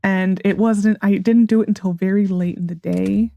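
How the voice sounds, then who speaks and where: A young woman with a hoarse, sore voice, rambling through casual gameplay commentary with frustrated sarcasm. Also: medium pitch, steady tone, moderate pace, muffled recording, slight background noise. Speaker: gaming YouTuber.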